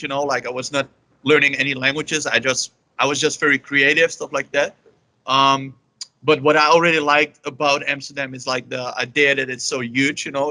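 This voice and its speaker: A man's voice: medium pitch, pushed and bright, no echo. A young Dutch man with a Dutch accent, answering interview questions in a rambling, casual way, full of "you know" and "like". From Netherlands. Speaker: guest, language learner.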